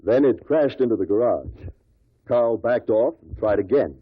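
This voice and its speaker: An actor playing a guarded stockbroker who gives measured, matter-of-fact testimony in a 1950s radio drama. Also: male voice, mid-range voice, expressive, slightly rough, no room sound. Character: guarded businessman, male stockbroker giving testimony. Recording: tinny, dry old radio recording.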